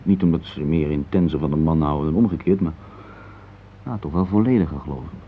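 An actor playing an easygoing, soothing husband in a Dutch radio play, speaking hesitantly. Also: male voice, rumbling low. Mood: reflective. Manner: hesitant, halting, chuckling between phrases. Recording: tinny, noisy old radio recording.

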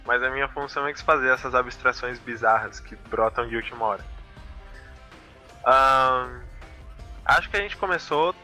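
A man's voice: mid-range voice, moderate pace, pauses often, bright, forceful tone, soft background music. A man with a Brazilian accent chatting casually as co-host of a live show. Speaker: podcast co-host.

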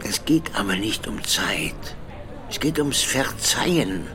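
An actor playing an ageing absent father in an audio drama, weary, guarded and unrepentant, with a grave, measured delivery. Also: lowish voice, big pitch swings, music in the background, heavily acted. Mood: grave, resigned. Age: older.

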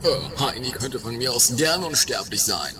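A performer playing a hammy, lovestruck suitor in an improvised comedy radio play, speaking in a theatrical, drawn-out way. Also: mid-range voice, lively intonation, a crowd in the background, close to an everyday voice. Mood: earnest. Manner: drawn-out, theatrical, mock-romantic.

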